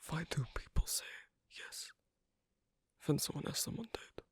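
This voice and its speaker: A man playing a guarded, flirtatious loner werewolf in an ASMR roleplay, speaking hesitantly and teasingly in a breathy, husky near-whisper. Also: unhurried, a bit bright, no room sound, studio quality, close to an everyday voice. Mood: tentative, flirtatious. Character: gruff, guarded, flirtatious asocial werewolf man on a first date. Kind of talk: ASMR roleplay, intimate one-sided dialogue.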